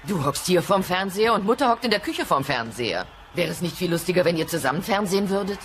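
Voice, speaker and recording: Animated, exasperated dinosaur wife. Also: female voice, on the low side, fast, slight background noise. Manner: scolding, questioning. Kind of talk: German-dubbed TV comedy audio play.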